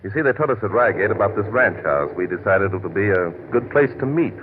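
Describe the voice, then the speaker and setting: An actor playing a calm, courteous, wry city detective in an old radio mystery. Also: male voice, fairly low pitch, phone-quality sound, natural voice. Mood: affable, assured. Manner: measured, polite, wry. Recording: crackly, band-limited old radio recording.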